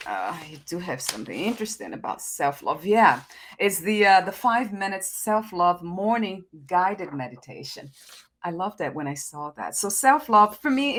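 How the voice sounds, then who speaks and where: Thoughtful, affirming woman host, lowish voice. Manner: thoughtful, affirming, frequent listening murmurs. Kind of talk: reflective interview conversation.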